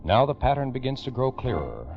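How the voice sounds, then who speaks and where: A man's voice: mid-range voice, very animated, fairly quick, over loud music. A man narrating a scripted old-time radio crime story in a formal, dramatic way. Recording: muffled old radio recording.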